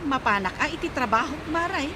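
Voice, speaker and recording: A woman acting as a chatty, boastful, preachy village friend in an Ilocano comedy radio drama, animated and teasing, with an Ilocano accent. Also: high-pitched, brisk, very noisy. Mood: chiding.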